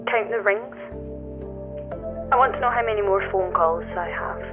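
An actress playing a curious, determined climber in an audio drama, speaking in a direct, slightly awkward way through a telephone filter. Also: female voice, medium pitch, moderate pace. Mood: resolute. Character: curious, determined woman climbing a mysterious tower. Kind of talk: naturalistic audio drama dialogue. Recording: thin phone-line sound, music underneath.

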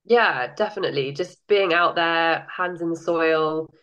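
A woman's voice: low voice, unhurried, fairly bright tone, lo-fi sound. Nasal woman with a cold. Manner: enthusiastic, laughs often. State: has a cold, a bit nasal. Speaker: guest. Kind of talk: casual interview chat.

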